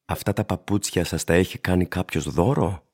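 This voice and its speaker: An actor playing an ageing, plain-spoken shoe shiner in a radio play, courteous and gravely cautioning, with a husky, gravelly voice. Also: male voice, sits low. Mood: earnest urging. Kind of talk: radio drama acting.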